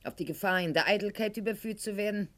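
An actress playing a proud, wounded middle-aged aristocratic lady in a radio play monologue, articulate and ironic, with weighted pauses. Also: mid-range voice, a bit bright, natural voice. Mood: wry, reflective.

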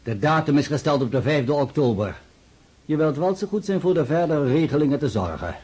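Dutiful officer, radio drama, calm, formal, mellow, husky, mid pitch. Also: male voice, a little fast. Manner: restrained, matter-of-fact. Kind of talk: radio play acting.

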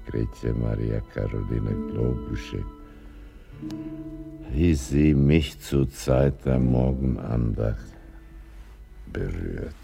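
Very deep, gravelly, weary old man. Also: warm tone, full character voice. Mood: pious lament. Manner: florid, mock-pious, lamenting formal speech. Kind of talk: radio play acting.

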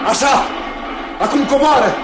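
A bold, eager young hero shouts out in a high, bright voice. It comes from an old radio play, echoey, with music behind. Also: male voice. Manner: calling out loudly in excitement. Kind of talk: 1960s radio drama acting.

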